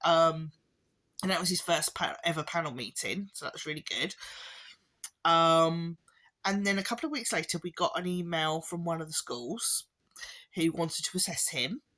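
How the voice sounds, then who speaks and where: Hoarse, low-voiced woman. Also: normal speed, a bit bright. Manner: rambling, with many ums and restarts, occasional wry laughs. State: hoarse, losing her voice with a chesty cough. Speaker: parent vlogger. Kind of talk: casual spoken update to camera.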